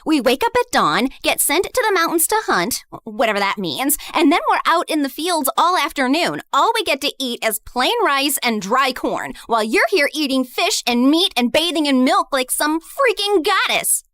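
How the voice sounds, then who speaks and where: A woman's voice: high voice, very animated, normal speed, hardly any pauses, bright, forceful tone, no room sound, studio quality, pitched above the performer's own voice, heavily acted. A woman voicing a bitter, spiteful young woman who sneers and spits accusations in a told story. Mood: scornful.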